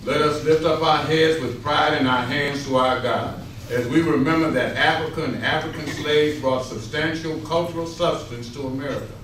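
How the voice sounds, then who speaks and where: A man's voice: on the high side, steady tone, moderate pace, hardly any pauses, fairly bright tone. A man reading a solemn historical script aloud in a readers theater rehearsal. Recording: very echoey room, distant mic, clear noise.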